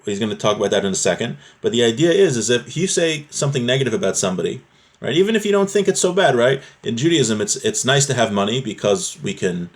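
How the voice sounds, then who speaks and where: A man's voice: mid-range voice, expressive, quick pace. Man teaching a religious text conversationally. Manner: conversational, explanatory, often checking with "right?". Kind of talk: text-based religious lesson, reading Hebrew and explaining it in English.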